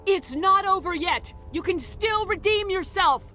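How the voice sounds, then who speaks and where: Firm female military hero for sci-fi game, high register, animated and commanding. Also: a little slow, faint music behind, full character voice. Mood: urgent, defiant. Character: resolute female space commander, steadfast hero. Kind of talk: video game cutscene acting.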